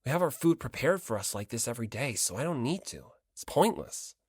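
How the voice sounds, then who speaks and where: A man's voice: medium pitch, expressive, brisk, a little mellow, breathy edge, no echo, clean studio sound. A man voicing an irritable, defensive teenage boy with a snappish, impatient manner in an audiobook. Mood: irritated, snappish.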